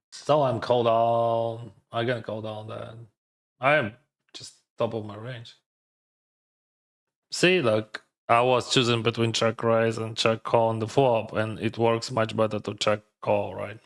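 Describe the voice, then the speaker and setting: A man whose first language is Russian, thinking aloud in hesitant English as he plays and streams poker. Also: medium pitch. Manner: hesitant, second-guessing, filler-heavy non-native English. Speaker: poker player streaming his games. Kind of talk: thinking aloud while playing online poker.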